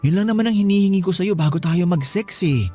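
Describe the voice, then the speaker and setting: An actor playing a tired, exasperated young Filipino husband who pleads reluctantly in a radio drama. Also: male voice, high voice, fast talker, warm tone, faint music behind. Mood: pleading, frustrated.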